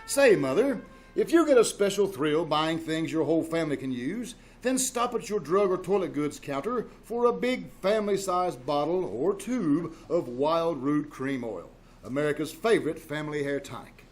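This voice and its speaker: Animated old-time radio announcer man. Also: on the high side. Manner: emphatic, showy salesmanship. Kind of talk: 1940s radio announcing and sponsor pitch. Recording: live stage with music.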